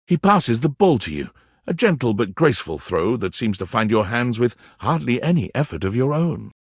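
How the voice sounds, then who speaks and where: A man playing a wry, theatrical narrator of a playful dating game, telling scenes in the second person with expressive, dramatic delivery. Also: medium pitch, normal speed, no room sound, studio quality. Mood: gentle storytelling.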